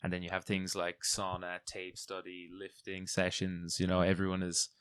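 A man's voice: sits low, completely flat delivery, normal speed, fairly bright tone, smooth, no room sound. A man speaking conversationally as an interviewer who chats casually with his guest. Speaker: interviewer and jiu-jitsu competitor.

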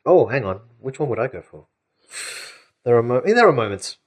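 A man's voice: mid-range voice, big pitch swings, normal speed. A man co-hosting a comedy podcast in casual chat, stretching out words as he reads quiz options aloud. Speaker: podcast co-host.

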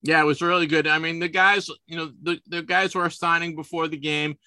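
A man in his fifties chats casually as a sports podcast host, and he often stumbles and repeats himself. His voice sits a little high and sounds bright and forceful. The recording is dry and close. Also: level tone, fairly quick, dry recording. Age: 50s. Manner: chatty, repeats words and restarts often.

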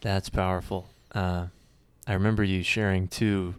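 A man's voice: sits low, level tone, moderate pace, clear, resonant tone, dry recording. A man in his thirties hosting an interview, talking casually with many fillers and restarts. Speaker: podcast host.

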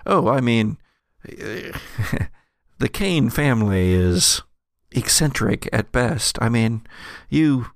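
Flirtatious, gushing barfly woman for a tabletop game, played by a man, coy endearments, heavy creak, dry close sound. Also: mid-range voice, very animated, extremely slow, dry recording, exaggerated voice.

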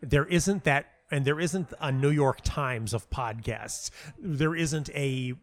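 A man talking casually as an interview guest, telling anecdotes in an animated, emphatic way and often repeating himself for stress. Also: medium pitch, takes it slowly. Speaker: interview guest, playwright and audio dramatist.

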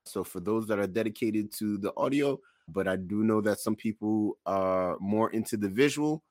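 A man hosting a casual podcast, addressing listeners in measured, promotional show-host phrases. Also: lowish voice, a little mellow, dry recording, studio quality.

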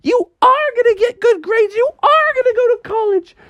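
A woman's voice: very high-pitched, lively intonation, unhurried, vocal fry, no echo, highs cut off, pitched above the performer's own voice, full character voice. A woman voicing a peppy, overbearing mother frog in a storybook, emphatic and sing-song, full of encouragement. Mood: elated. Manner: sing-song, emphatic, pep-talk repetition.

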